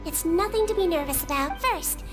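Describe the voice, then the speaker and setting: A woman voicing a small, girlish melusine head nurse in a video game, calm, polite and reassuring. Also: very high-pitched, a little fast, music in the background. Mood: calm, clinical.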